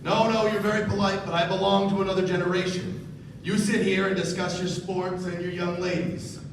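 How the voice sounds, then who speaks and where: Courtly old gangster, echoing stage. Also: male voice, high voice, flat delivery, brisk. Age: older. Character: genteel, sinister older gangster and gambler. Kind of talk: 1940s-style live radio play acting. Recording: echoey stage, distant mic, noisy room.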